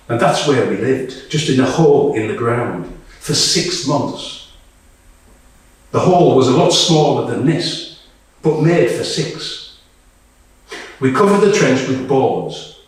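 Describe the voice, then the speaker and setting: A man performs a weary, blunt woman soldier in a stage monologue. The delivery is unhurried, wry and conversational, with some swearing. It is heard from a distance in a very echoey room. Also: medium pitch. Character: Tonya, weary, blunt female Ukrainian soldier. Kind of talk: dramatic monologue read to a live audience.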